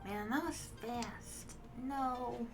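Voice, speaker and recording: Woman, native Mandarin speaker, streamer, casual chat, relaxed, musing, slow pace, game music behind. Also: on the high side. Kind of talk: casual chat with viewers while playing a game.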